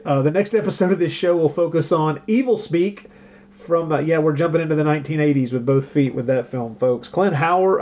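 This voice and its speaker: Guy hosting a podcast, voice a bit high, casual and wry, kinda jokey about himself. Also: darkish tone. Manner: wry, self-deprecating, joking. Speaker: podcast host. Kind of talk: casual chat.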